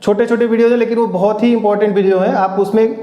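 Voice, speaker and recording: A man's voice: sits high, steady tone, very fast, reverberant, lo-fi sound. A man giving informal, instructive advice as an exam coach, repeating his points for emphasis. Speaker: exam coach and channel host.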